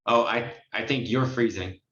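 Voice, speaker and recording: A man's voice: mid-range voice, moderate pace, a bit bright. A man hosting a conversational Q&A on a group call, explaining and advising with emphasis. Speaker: host and notary trainer taking questions. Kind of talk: conversational Q&A advice. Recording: muffled, roomy online call audio.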